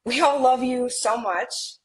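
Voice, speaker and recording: An actress playing a sincere, sweet millennial twin sister in a romantic comedy script reading. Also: female voice, a little high, takes it slowly, slight background noise. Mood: warm, celebratory. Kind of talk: romcom table read acting.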